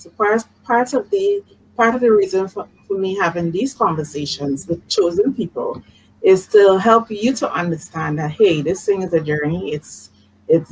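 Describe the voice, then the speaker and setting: A woman's voice: lowish voice, slight background noise, rough recording. A woman hosting a casual live-streamed interview, effusive and encouraging in the way she talks. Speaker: host. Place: video call live stream.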